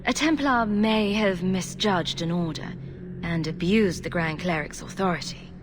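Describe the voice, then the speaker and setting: An actress playing a diplomatic female adventurer in a video game, composed, courteous and gently questioning. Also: fairly low pitch, a little slow, noisy background, close to an everyday voice. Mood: calm probing. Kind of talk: video game cutscene acting.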